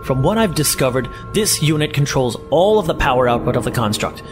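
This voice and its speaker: A man's voice: medium pitch, lively intonation, fairly quick, natural voice. A man playing a composed, defensive professor in a science fiction audio drama, speaking formally and explaining things articulately. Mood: grave. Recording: loud music behind the voice.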